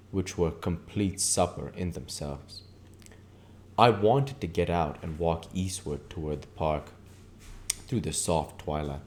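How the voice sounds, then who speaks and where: A man's voice: very deep voice, darkish tone, a little hiss or hum. A man reading literary prose aloud as an audiobook narrator and channel host.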